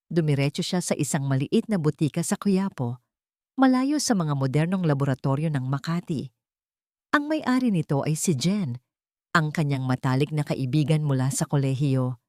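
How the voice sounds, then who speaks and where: A woman with a low-pitched voice narrates a dramatic audio story. Her delivery is solemn and full of suspense. The sound is very dry, with hardly any room on it. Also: warm tone, dry recording.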